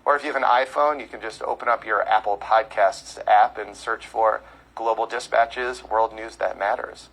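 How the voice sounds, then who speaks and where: A man who hosts a podcast, guesting on a call-in show and answering callers in a measured, explanatory way with frequent fillers. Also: lowish voice, steady tone, a bit bright, poor audio.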